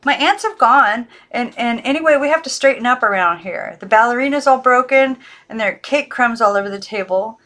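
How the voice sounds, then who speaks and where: A woman voicing a frightened young girl who coaxes, then desperately shouts at her dolls. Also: on the high side, lively intonation, fairly quick, hardly any pauses, pitched above the performer's own voice. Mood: coaxing. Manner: coaxing, then frantic and shouting.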